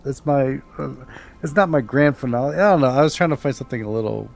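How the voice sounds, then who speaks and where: A man's voice: mid-range voice, expressive, fast talker, gravelly. A man co-hosting a storytelling podcast in an informal, campfire style with a theatrical touch.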